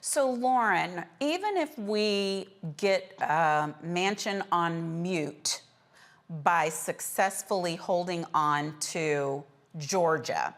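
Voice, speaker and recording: Woman, slightly low pitch, news panel host, conversational, informal, emphatic. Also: drawn-out words.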